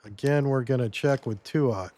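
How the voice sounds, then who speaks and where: A man losing his voice a little, giving a relaxed step-by-step gameplay tutorial as commentary. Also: mid-range voice, normal speed. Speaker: game guide creator.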